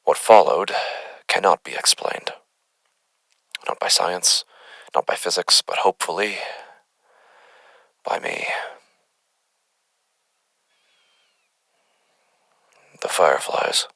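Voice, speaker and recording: A man playing an unsettled doctor who dictates formal, deliberate case findings on the paranormal in a horror audio drama. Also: sits low, gravelly, bright, forceful tone, dry recording, heavily acted. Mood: hushed awe. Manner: formal, deliberate, halting, wordy. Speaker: investigator narrator.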